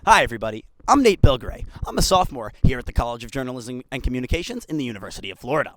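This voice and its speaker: A man's voice: medium pitch, big pitch swings, rapid-fire, fairly bright tone, no room sound. A young man in his twenties, a student sportscaster introducing himself with a polished broadcaster delivery. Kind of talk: self-introduction narration.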